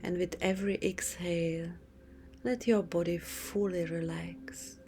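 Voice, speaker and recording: A woman speaks in a soft, breathy half-whisper, soothing and unhurried with long pauses, as a yoga teacher leading a guided relaxation meditation. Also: on the low side, steady tone. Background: music.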